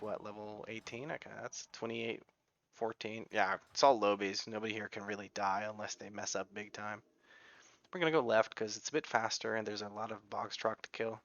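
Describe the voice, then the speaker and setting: Bright-voiced American man chatting over gameplay. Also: lowish voice. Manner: chatty, rambling, self-correcting. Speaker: gaming YouTuber. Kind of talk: casual gameplay commentary.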